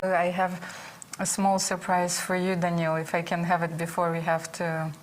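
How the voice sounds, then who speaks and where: A woman with a lowish voice presents in a chess studio. She speaks in measured phrases, like someone reading out light homemade verse. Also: completely flat delivery, rough recording. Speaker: chess broadcast commentator. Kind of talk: reading a homemade rhyming poem.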